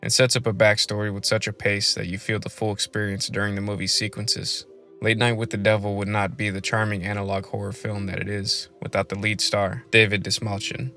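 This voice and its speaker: A man presents a scripted video essay on film. His voice sits fairly low with a strong creaky edge. He speaks in a measured way, as a host addressing viewers. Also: level tone, bright, forceful tone, soft background music.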